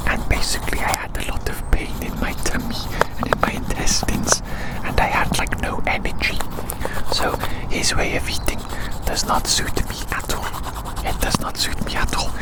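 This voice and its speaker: A man speaking in a breathy whisper, rambling softly in an ASMR style and repeating phrases slowly. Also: low-quality recording. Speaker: ASMR creator.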